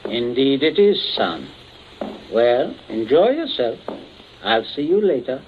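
A man's voice: medium pitch, big pitch swings, takes it slowly. An actor playing a genteel, middle-aged father in a 1940s radio drama, suave and commanding, coldly menacing under false cheer. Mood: false geniality. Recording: muffled, noisy 1940s radio recording.

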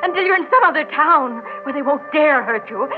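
An actress playing an anguished, loving small-town wife, faltering and pleading in an old-time radio drama style. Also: female voice, sits high, expressive, fairly quick, loud music behind the voice. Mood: desperate, tearful. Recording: muffled, noisy old radio recording.

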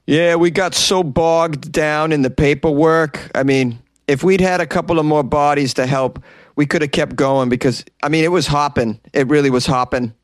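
A man's voice: fairly high pitch, steady tone, no room sound, lightly acted. A man putting on a Boston accent to play a weary, overwhelmed male police constable who rambles and repeats himself. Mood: bogged down. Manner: rambling, halting repetitions.

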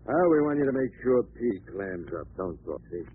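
Tinny vintage radio, male actor as gruff crime boss, threatening, sarcastic, slangy, widely swinging pitch. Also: very animated, normal speed, close to an everyday voice. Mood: curt, controlling. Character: gruff, scornful gang boss, tough and threatening. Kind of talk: 1940s radio gangster acting. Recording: tinny old radio with faint noise.

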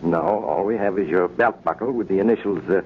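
An actor playing a calm, authoritative hospital doctor in a 1940s radio drama. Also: male voice, low voice, brisk, lightly acted. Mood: reassuring. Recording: tinny old radio recording, faint noise.

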